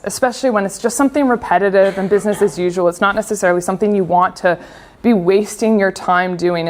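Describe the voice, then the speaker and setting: A young woman with a Canadian accent giving a casual, chatty conference talk on design. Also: mid-range voice. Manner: casual, chatty with frequent fillers. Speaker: conference speaker.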